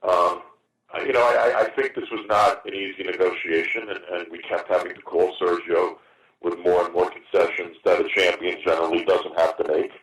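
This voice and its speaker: A man in his fifties answering questions on a conference call as a boxing promoter, halting and often repeating words. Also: low-pitched, flat delivery. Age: 50s. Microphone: phone on a conference call. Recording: roomy, distant, noisy teleconference phone line.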